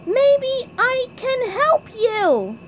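Childlike, bubbly male cartoon sponge played by a woman, very high and excitable, muffled recording with clear noise. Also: takes it slowly, exaggerated voice. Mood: chirpy, helpful. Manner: excitable, exclamatory. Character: eager, childlike, bubbly male sea sponge fry cook.

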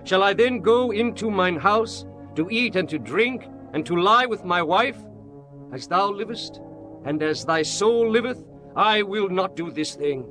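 An earnest soldier of ancient Israel, speaks in a fairly high voice. He is resolute and declamatory, in the solemn manner of a scripture drama, with music clearly behind him. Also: animated, unhurried, pushed and bright, full character voice. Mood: solemn, defiant.

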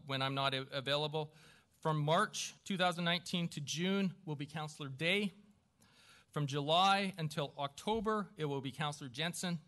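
A man speaking as mayor at a council meeting, running through formal procedure in a halting, self-deprecating way with informal asides. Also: fairly high pitch, sharp tone. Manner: halting, self-deprecating, stumbling over names. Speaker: newly elected mayor chairing a council meeting. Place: council chamber with a small audience.

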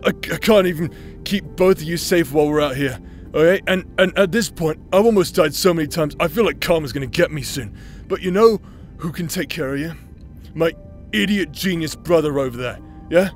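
A fairly high-voiced drunken villain played by a man, protective and self-loathing. He stammers and swears through emotional audio drama lines, with faint music behind him. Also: normal speed, close to an everyday voice. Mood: agitated, pleading. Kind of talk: emotional audio roleplay acting.

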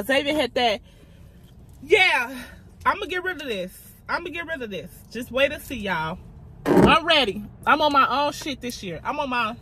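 A blunt, chatty young woman talks straight to her phone camera from a parked car. Her voice is bright and forward, with a faint hum of car ambience behind her. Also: high-pitched. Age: 20s. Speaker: vlogger. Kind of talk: casual talk to camera. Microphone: phone camera on dashboard.

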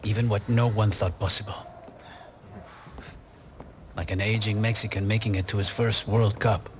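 A man playing an earnest, driven middle-aged football coach in a game drama, giving solemn, measured team talks. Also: on the low side, steady tone, dull audio, background noise, natural voice. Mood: solemn, rousing. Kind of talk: scripted game drama, pre-match team talk.

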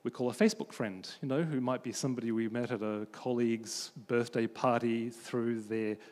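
A man from Australia giving a conference talk about faith and friendship, telling stories in an animated way as he moves around a large stage with a handheld mic. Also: mid-range voice, moderate pace. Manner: animated storytelling, pacing the stage. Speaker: conference speaker. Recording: long hall reverb.

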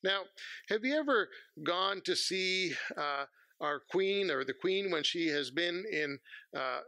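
Gravelly man preaching. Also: on the high side, pushed and bright, no room sound. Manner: measured, earnest preaching with pauses. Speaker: pastor. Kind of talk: sermon. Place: church sanctuary, at a pulpit. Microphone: headset mic.

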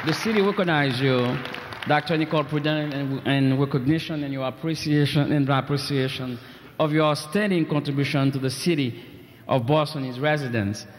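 A man's voice: fairly high pitch, brisk, a faint crowd, slight background noise. A man presenting awards at a gala, reading citations formally with joking asides, and saying he is losing his voice. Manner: reads citations formally, with asides and self-deprecating jokes. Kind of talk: ceremony announcing and reading citations. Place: large convention hall. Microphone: podium microphone. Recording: echoey convention hall, 1990s video.

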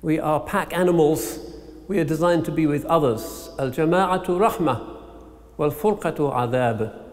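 A middle-aged man delivering a solemn, measured sermon in short rhetorical phrases with pauses, as an imam. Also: a little high. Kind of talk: Eid sermon. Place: large mosque interior, at a lectern. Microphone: microphone on a stand. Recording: long hall reverb.

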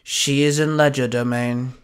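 A man voicing a stern, stubborn sorcerer under a curse in a narrated story, grunting out drawn-out words. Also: mid-range voice, little pitch movement, clean tone, close to an everyday voice. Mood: resigned, sad.

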